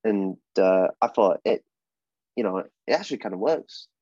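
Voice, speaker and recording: A man's voice: lowish voice, animated, moderate pace, mellow, husky, dry recording. A young man with a British accent, a wrestler guest in a casual podcast interview, talking with lots of fillers and restarts. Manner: hesitant, many fillers and restarts. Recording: dull, dry, lo-fi sound.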